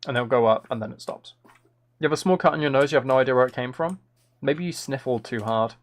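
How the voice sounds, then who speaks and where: A soft-spoken man chatting casually on a gaming livestream, thinking aloud in a musing, tentative way as he builds. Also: medium pitch, fast talker. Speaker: livestream host.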